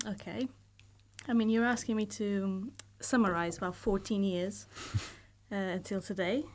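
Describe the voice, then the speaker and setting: A woman's voice: mid-range voice, fairly even intonation, a little hiss or hum. Thoughtful Portuguese-accented woman in her thirties. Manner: thoughtful, measured, hedges often with fillers. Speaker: guest, university lecturer. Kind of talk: casual podcast interview. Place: podcast studio.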